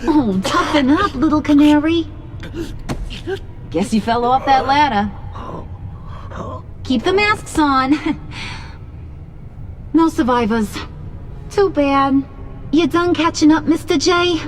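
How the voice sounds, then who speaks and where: A woman's voice: high voice, animated, slow, pauses often, slight background noise. An actress playing a brash, bossy female villain in a video game, mocking and playful in a sing-song taunt.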